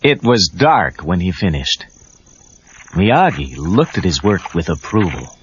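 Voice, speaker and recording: A man's voice: low-pitched, very animated, unhurried. A man narrating a scripted children's story as a storybook narrator. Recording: dull lo-fi cassette tape. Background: music.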